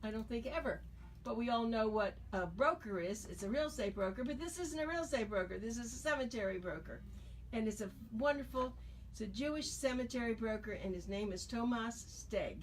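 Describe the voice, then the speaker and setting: A woman hosting a radio talk show, asking friendly questions in a chatty, easy way. Her voice sits at a middling pitch and sounds a little distant from the mic. There's a faint hiss behind her. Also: animated, fairly quick. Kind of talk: radio interview.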